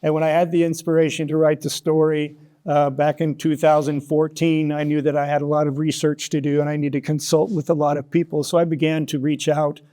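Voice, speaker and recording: A man gives conversational interview answers in a very mellow voice pitched a little above average. He explains things haltingly, often repeating a word before moving on. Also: flat delivery. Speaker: interview guest, author. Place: broadcast interview studio.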